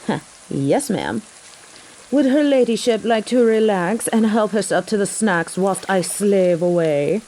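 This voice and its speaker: A woman performs a devoted biker girl in an audio drama. Her voice is mellow and dark at a medium pitch, and her delivery is teasing and wry, full of sarcastic snark. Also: expressive, a little slow, rough recording. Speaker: voice actor in an audio roleplay. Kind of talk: scripted audio drama acting.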